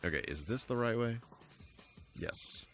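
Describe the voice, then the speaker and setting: A man's voice: medium pitch, animated, a little slow, a bit bright. An American man giving casual, rambling, good-humored commentary on a gaming livestream. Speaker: live streamer. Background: game music.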